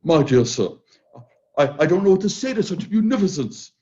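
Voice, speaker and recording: A man voicing a flustered middle-aged charity gentleman who stammers in astonishment, on a dull lo-fi recording. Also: high voice, sing-song, normal speed.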